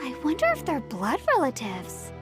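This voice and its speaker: Brief: starry-eyed game fan, extremely high and swooping voice, clear music bed. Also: female voice, huge pitch swings, normal speed. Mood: curious, eager. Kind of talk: English video game voice acting.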